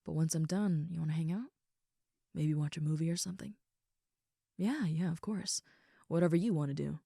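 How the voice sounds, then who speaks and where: Affectionate young female ogre roommate played by a woman for comfort roleplay audio: very low-pitched, relaxed, soft and sincere, close dry sound. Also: moderate pace, vocal fry, no echo. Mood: gentle, reassuring. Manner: soft, sincere, rambling, reassuring.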